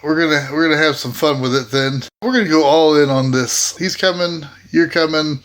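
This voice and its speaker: A man talks casually as a player in a tabletop game. His voice is bright and forceful, pitched a little high. He is jokey and thinks out loud as he decides his moves. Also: fairly quick. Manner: jokey, thinking aloud, repeating himself.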